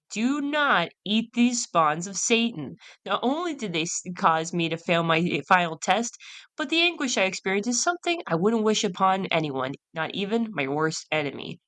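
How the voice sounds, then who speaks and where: A woman's voice: mid-range voice, fairly bright tone. A woman hosting a solo podcast, reading aloud and chatting in a casual, storytelling way. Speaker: podcast host.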